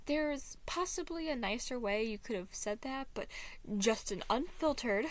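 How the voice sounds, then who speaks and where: A woman's voice: a little high, lively intonation, a little slow, pitched above the performer's own voice. A woman voicing an earnest, emphatic young man who keeps the peace with exasperation. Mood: faltering.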